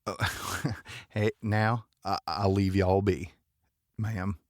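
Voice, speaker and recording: A laid-back rival in an audio drama who teases in a casual way. His voice is fairly low and slow, recorded close and dry. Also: steady tone, no echo. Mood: sheepish. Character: teasing, laid-back male rival who needles the heroine.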